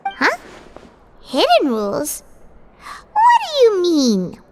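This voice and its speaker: An extremely high, squeaky little girl chatters as an excitable video game companion. Her delivery swings up and down with childlike exclamations, over prominent background music. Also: slow pace, full character voice. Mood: alarmed. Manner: refers to self in third person, exclamatory. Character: small childlike girl companion, excitable, food-loving, easily scared. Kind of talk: animated video game voice acting.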